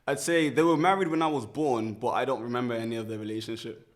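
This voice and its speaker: Young man, mid-pitched and easygoing, thinks aloud with many restarts. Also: quick pace. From Birmingham, England. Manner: relaxed, reflective, many restarts and fillers. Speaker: guest, musician. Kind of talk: casual interview.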